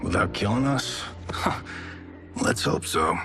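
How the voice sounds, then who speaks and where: An actor playing a gruff, wry adventurer in a game cinematic, muttering casually. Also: male voice, lowish voice, lively intonation, slow pace, music in the background. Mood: wry, tense. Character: gruff, wry male adventurer on a perilous expedition. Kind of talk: video game cinematic acting.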